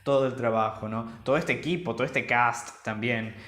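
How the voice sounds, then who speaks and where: A man's voice: mid-range voice, fairly even intonation, normal speed, a bit bright. Male podcast co-host from Venezuela, eager and rambling, recorded in a reverberant room. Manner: enthusiastic, rambling with many restarts. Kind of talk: casual chat. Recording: roomy echo.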